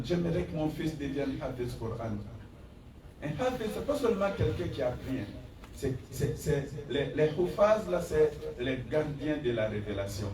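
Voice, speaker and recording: Exhorting imam in an echoey hall. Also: male voice, a little high, recorded from a distance. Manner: exhorting, rhetorical questions, rising emphasis; recites Arabic verses and hadith. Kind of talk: Friday sermon.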